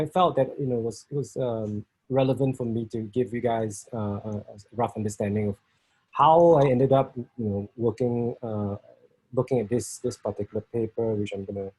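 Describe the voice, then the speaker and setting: A middle-aged man from Singapore with a Singaporean accent, giving a talk over a video call, halting with many fillers and restarts. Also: on the low side, dark tone, husky, no room sound, low-quality recording. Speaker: guest speaker.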